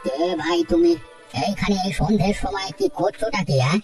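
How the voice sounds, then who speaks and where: A woman's voice: mid-range voice, wildly swooping pitch, brisk, darkish tone, distant mic. A woman voicing a stern, suspicious old village man in a cartoon, questioning and admonishing. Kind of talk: cartoon drama voice acting. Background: music.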